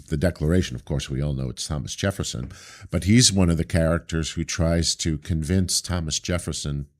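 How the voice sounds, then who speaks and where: A man from Brooklyn, a theater director being interviewed, with a deep voice and a thoughtful, storytelling manner over a video call. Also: darkish tone. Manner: thoughtful, anecdotal, hesitant starts. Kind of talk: casual interview.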